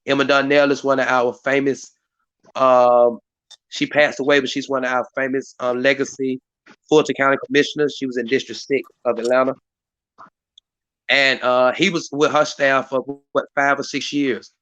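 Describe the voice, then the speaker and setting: Hoarse man hosting a stream. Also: medium pitch, level tone, normal speed, fairly bright tone, dry recording, muffled recording. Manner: rambling, many fillers and restarts. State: hoarse, voice partly lost. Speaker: community activist hosting a live stream meeting. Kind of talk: casual hosted talk. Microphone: live stream mic.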